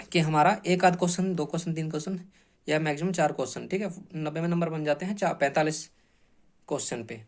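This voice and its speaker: A man giving informal exam-prep instruction as a coaching teacher, explaining step by step with frequent short check-in tags and English terms mixed in. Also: a little high. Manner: explanatory, repeatedly checks in with short tag phrases; mixes English terms into speech.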